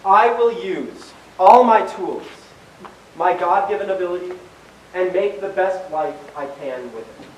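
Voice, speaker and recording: A man's voice: sits high, measured pace. A young man playing an accusatory, theatrical character in an amateur one-act stage play. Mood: smug, probing. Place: indoor stage, recorded from a distance. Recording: echoey stage, far mic, faint hiss.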